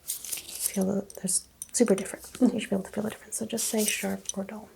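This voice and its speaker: A woman speaks as an ASMR medical examiner in a mellow, creaky murmur that often drops into a whisper. She gives gentle, step-by-step instructions. There is faint noise behind her, with small clicks. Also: medium pitch, level tone, fast. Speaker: ASMR role-player acting as a medical examiner. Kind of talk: soft-spoken ASMR medical exam role-play.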